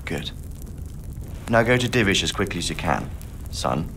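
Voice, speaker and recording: A man's voice: low-pitched, big pitch swings, normal speed, fairly bright tone, a little hiss or hum, heavily acted. An actor playing a firm but caring middle-aged nobleman, a paternal figure in a medieval game cutscene. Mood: tender, firm. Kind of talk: dramatic game cutscene acting.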